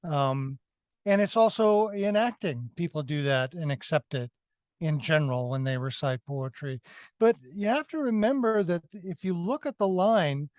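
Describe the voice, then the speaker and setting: A man with a fairly high voice speaks as a co-host in a casual review discussion. He explains things in a halting way, restarting and correcting himself. The sound is very dry and close, with no room echo. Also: animated, darkish tone. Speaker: podcast co-host reviewing films.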